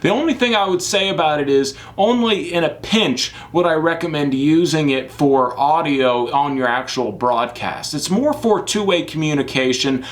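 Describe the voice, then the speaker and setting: A man giving a casual, informal gear review to camera, talking in a chatty, emphatic way with asides. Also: on the high side. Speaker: product reviewer, live sports production crew.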